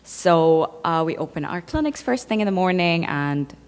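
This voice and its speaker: A woman's voice: fairly low pitch, expressive, moderate pace. A woman from Egypt, a dentist giving a conference talk, speaking conversationally with fillers and playful asides. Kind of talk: conference presentation. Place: large conference hall. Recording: reverberant lecture hall.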